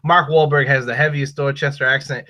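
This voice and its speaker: A man with a Boston accent hosting a podcast, giving loud, profane, emphatic opinions in casual chat. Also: mid-range voice, fairly even intonation, fairly quick. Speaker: podcast host. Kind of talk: casual chat about movies and toys.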